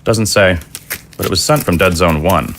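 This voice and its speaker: A man acting a weary, level-headed middle-aged father in an audio drama, speaking in a measured, plain way. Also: low-pitched, a bit bright, slight background noise. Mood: resigned.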